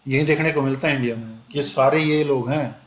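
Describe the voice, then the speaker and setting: A male teacher giving a conversational exam-prep lecture, switching between Hindi and English and repeating "okay" as a filler. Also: mid-range voice. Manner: conversational, repeats "ठीक है" often, switches into slow English phrases. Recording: echoey room with faint ambient noise.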